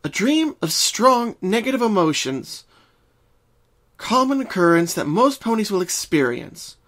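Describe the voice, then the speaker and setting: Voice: medium pitch, sing-song, unhurried, fairly bright tone, pitched above the performer's own voice. A performer voicing a caring, bookish young female unicorn pony who speaks earnestly and precisely in a cartoon fan reading. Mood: studious. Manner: concerned, precise, articulate.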